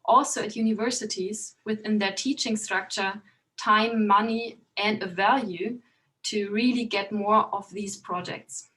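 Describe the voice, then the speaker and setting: A woman's voice: medium pitch, fairly even intonation, fairly bright tone, poor audio. Hesitant woman speaker on a video call. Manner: hesitant, halting phrasing. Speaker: conference speaker. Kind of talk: online conference presentation.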